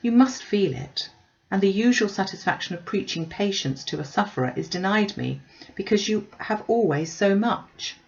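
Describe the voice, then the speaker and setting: A woman reading a storybook and voicing a thoughtful, sympathetic young woman with an earnest, measured manner. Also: medium pitch, animated, gravelly, dull audio, voice pushed up.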